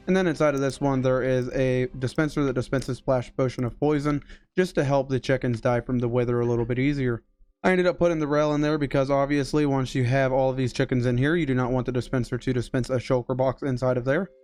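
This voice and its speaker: A young man narrating a gameplay walkthrough in a steady, explanatory way, his voice a little strained from being sick, speaking into a headset mic. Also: medium pitch. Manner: explanatory, steady tutorial delivery. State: recovering from illness, voice strained. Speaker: game streamer.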